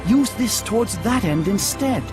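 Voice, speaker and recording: A devout, deferential priest from a fantasy game. His voice sits somewhat high and moves in animated swings, with formal, pious phrasing that turns hesitant when he is flustered. Music plays clearly behind him. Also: a little slow. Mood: troubled, reluctant. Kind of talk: dubbed fantasy game cutscene acting.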